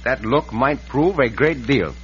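An actor playing a calm, gallant Mexican adventurer in a radio western, with a courteous, commanding, deliberate delivery and a Mexican accent. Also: male voice, medium pitch, over loud music, lightly acted. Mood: resolute. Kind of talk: 1950s radio western acting. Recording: muffled, noisy, reverberant old radio recording.

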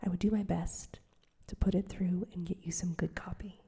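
An earnest young male reporter pleads his case in a hushed, breathy whisper, as a woman reads him. The tone is mellow and low-pitched, soft and airy throughout. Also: fairly even intonation, moderate pace.

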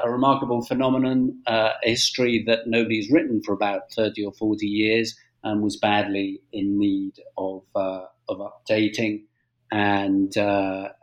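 British male historian, middle-aged, conversational interview guest, measured and hesitant delivery. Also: on the low side, muffled recording. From Britain. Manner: halting, measured, frequent hesitations. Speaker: guest author, historian and journalist.

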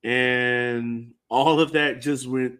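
A man's voice: medium pitch, steady tone, drawn-out words, a bit bright, clean tone. A man co-hosting a sports fan podcast, talking casually and informally with a resigned manner. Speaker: co-host of a soccer fan podcast.

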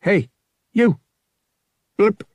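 A man's voice: on the high side, lively intonation, a little slow, gravelly, darkish tone, slightly rough, dry recording, dull audio, pitched above the performer's own voice, full character voice. A man playing a brash, impatient young smuggler pilot in an audiobook, with a blunt, slangy way of talking. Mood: hailing.

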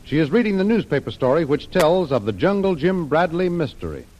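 Higher-pitched American man, vintage radio announcer. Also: very animated, fairly quick, a little mellow, poor audio. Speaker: announcer and narrator. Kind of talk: dramatic scripted 1930s radio narration.

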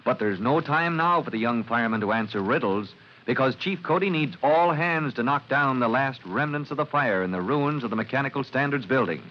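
Casting: male announcer-narrator, medium pitch, dramatic vintage radio delivery, muffled recording. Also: animated, fairly quick. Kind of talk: dramatic scripted old-time radio narration. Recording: muffled old radio recording, some noise.

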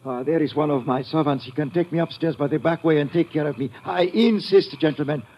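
Man acting a high-voiced, courteous, halting doctor. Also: natural voice. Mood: strained, polite. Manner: formal, halting, insistent, no contractions. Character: polite, composed doctor and host, brushing off his wound. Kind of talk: 1950s radio drama acting. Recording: noisy, muffled old radio recording.

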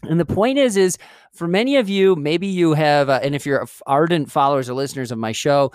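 Smooth-voiced chatty man, dry close mic. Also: fairly high pitch, fairly quick, no echo. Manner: chatty, digressive, self-correcting. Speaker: podcast host. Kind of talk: rambling solo podcast talk. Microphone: studio mic.

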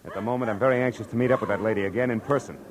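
A man's voice: lowish voice, very fast, a crowd in the background, lightly acted. A man acting a terse, wry American private detective in a 1940s radio drama. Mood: urgent. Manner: terse, dry, wisecracking. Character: hard-boiled, wry, commanding male private detective. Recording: noisy old radio recording.

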